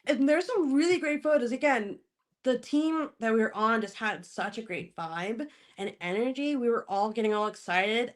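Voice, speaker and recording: A woman from Spokane, Washington, speaking as a podcast guest in casual interview chat, laughing often and pausing to search for words. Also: mid-range voice.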